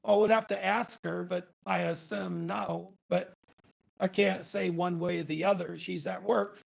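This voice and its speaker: Man voicing a high, gravelly, stubborn old fellow. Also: fairly even intonation, a little slow, exaggerated voice. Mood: compliant. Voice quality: raspy, creaky, high-pitched. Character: stubborn, thrifty, naive older man, a scam victim. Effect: AI voice changer. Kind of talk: improvised phone conversation.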